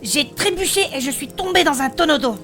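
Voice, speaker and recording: A woman playing a spirited female blacksmith adventurer, recounting her past in animated, colloquial in a fantasy audio drama. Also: high-pitched, brisk, faint music behind. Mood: lively storytelling. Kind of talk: French fantasy audio fiction acting.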